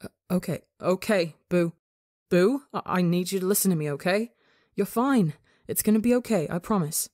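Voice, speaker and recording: An affectionate young man with antennae speaks gently and reassuringly, his voice a little shaky as he tries to stay calm, as a woman reading a story plays him. The voice itself sits on the lower side. It sounds close and dry. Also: unhurried, few pauses, dry recording. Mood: shaky, soothing.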